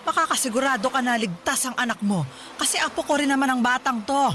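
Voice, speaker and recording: A woman's voice: high voice, fast talker, sharp tone, a little hiss or hum. A woman playing a caring but scolding old grandmother in a Filipino radio drama, speaking with reproachful, pleading emphasis. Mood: chiding.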